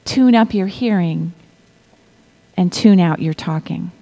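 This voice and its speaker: A middle-aged woman preaching a sermon, reading scripture in a measured way and dropping to a whisper for effect. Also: on the low side. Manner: slow, deliberate delivery with pauses and soft whispered stretches; asks the congregation to repeat lines.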